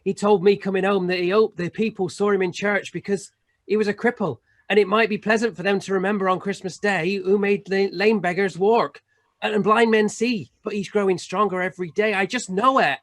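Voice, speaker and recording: A man playing a gentle, devoted poor father in an amateur radio play, tender and earnest. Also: high-pitched, fairly even intonation, a little fast, lightly acted. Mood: tender, hopeful.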